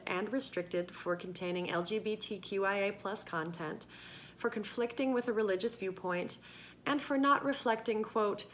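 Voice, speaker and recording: A woman presenting a book in clear scripted narration. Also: mid-range voice, fairly bright tone, slight background noise.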